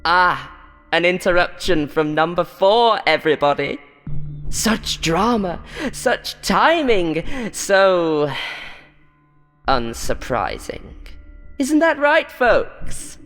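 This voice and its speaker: A woman's voice: on the low side, sing-song, takes it slowly, a bit bright, long reverb tail, faint music behind, exaggerated voice. Woman voicing a haughty, showy, monstrous game show host. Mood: mocking, showy. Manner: theatrical announcer timbre, flipping to contempt. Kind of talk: horror audio story.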